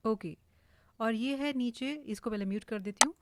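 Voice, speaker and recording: An Indian woman giving an informal, explanatory software tutorial, mixing English words into her speech. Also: fairly high pitch, moderate pace, over loud music. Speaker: voice-over artist giving a tutorial. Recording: clearly hissy home recording.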